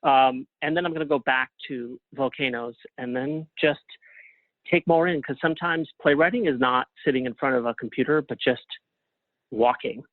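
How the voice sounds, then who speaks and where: A man speaking as an interview guest in casual conversation, with a reflective, halting way of phrasing things. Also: medium pitch, no echo. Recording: thin phone-like line.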